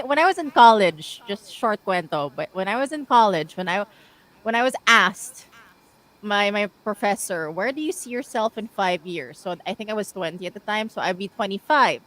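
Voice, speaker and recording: A woman's voice: medium pitch, fairly bright tone, rough recording. A woman in her thirties with a Filipino accent, hosting a radio talk show, chatty and laughing easily, mixing in Tagalog phrases. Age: 30s. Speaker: radio host. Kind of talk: casual radio interview.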